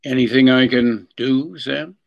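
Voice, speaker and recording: Man acting slow, gravelly, smitten detective sidekick. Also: mid-range voice, steady tone, dull audio. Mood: awkward, curious. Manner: eager, bumbling, hesitant, ingratiating. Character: Miles Archer, eager, smitten male detective partner. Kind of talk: old-time radio drama acting.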